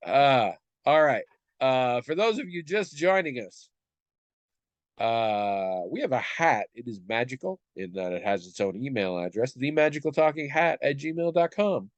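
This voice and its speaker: A man's voice: medium pitch, lively intonation, normal speed, dry recording, lo-fi sound. A man in his forties co-hosting a podcast. He is stuffed up and talks in a rambling, self-deprecating way with many restarts. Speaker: podcast co-host. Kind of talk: casual chat.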